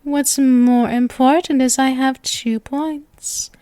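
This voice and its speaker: A woman's voice: high-pitched, fairly even intonation, slow, dark tone, smooth, dry recording. A woman playing a sweet, possessive, lovestruck young witch in an ASMR roleplay, coy and teasing as she addresses the listener. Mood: smug, sweet. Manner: coy, teasing, sugary with menacing devotion.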